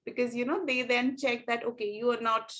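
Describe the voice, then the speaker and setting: High-voiced Indian woman tutor. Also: steady tone, a little fast. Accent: Indian English. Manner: gentle, explains with many fillers. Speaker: english test tutor. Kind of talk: online class feedback.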